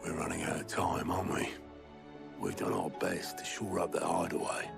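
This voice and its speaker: Gravelly, wry, weathered ally. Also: male voice, sits low, steady tone, normal speed, over music. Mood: weary concern. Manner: wry, understated, colloquial. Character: weathered, wry male ally, plain-spoken and weary. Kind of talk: dramatic video game cutscene acting.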